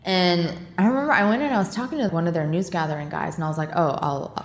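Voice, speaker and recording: A woman being interviewed on a podcast, telling her career story in an animated, self-mocking way. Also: lowish voice, quick pace. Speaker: guest, war correspondent. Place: charity office, roomy. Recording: echoey room with faint noise.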